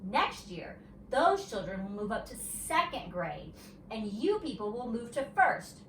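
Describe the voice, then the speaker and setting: Roomy recording, lady reading as a patient but firm kindergarten teacher, storybook, lively pitch. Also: measured pace. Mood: firm, exasperated. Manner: explaining carefully to young children. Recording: roomy, distant mic, faint noise.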